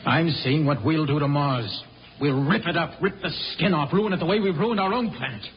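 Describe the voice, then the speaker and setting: An American actor playing a grave, idealistic and bitterly ashamed spaceman in 1950s radio drama, speaking haltingly and with passion. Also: a little high, a little hiss or hum, natural voice. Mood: bitter, impassioned. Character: idealistic, brooding spaceman, bitterly ashamed of his own kind. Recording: muffled old radio recording, faint music.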